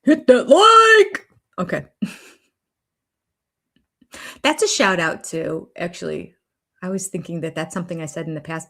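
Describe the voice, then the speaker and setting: A woman's voice: low-pitched, creaky, a bit bright. An older woman hosting a casual watch-along stream, chatting about a reality show and reading viewer comments aloud. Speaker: YouTube host.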